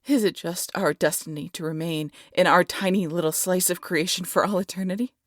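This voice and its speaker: A woman playing a proud, vengeful demon queen in an audio roleplay, theatrical and menacing, often dropping to a breathy hush. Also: on the low side, steady tone, a little fast, dry recording, studio quality, lightly acted. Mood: bitter, defiant. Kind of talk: scripted audio roleplay addressed to listener.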